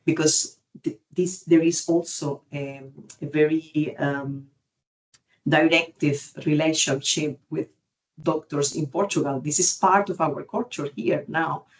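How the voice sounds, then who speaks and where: A woman from Cuba with a Cuban Spanish accent, speaking as an expert panellist in an unscripted webinar, searching for words in halting non-native phrasing. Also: low voice, fairly even intonation, poor audio. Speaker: genetic counselling expert and panellist. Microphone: video call.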